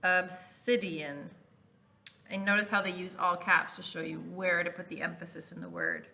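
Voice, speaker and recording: A woman reading a children's storybook aloud as a teacher, with clear emphasis, explanatory asides and questions to listeners. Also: on the low side, steady tone, moderate pace, sharp tone.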